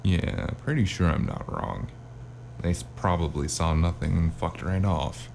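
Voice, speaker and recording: A man plays a hard-boiled noir detective with an extremely deep, gravel-edged voice and a dark tone. He tells his story with wry weariness, as in an audio drama, over a faint hum. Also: a little slow. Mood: sheepish, casual. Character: hard-boiled male cyberpunk noir investigator with magic, wry and weary. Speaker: narrator and lead character.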